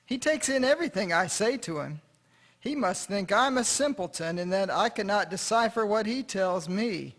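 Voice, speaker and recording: A man's voice: high voice, animated, normal speed, fairly bright tone, full character voice. A man playing a cheerful, courteous small-town machinery dealer in a period radio drama, genial and old-fashioned in manner. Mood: fond.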